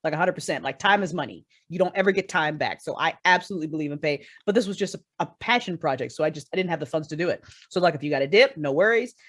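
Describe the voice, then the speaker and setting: A woman's voice: sits low, expressive, rapid-fire, hardly any pauses. A woman chatting casually as an interview guest, blunt and emphatic, with slangy phrasing and easy swearing. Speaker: guest, writer and creator of audio dramas. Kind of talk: casual, profane fan chat.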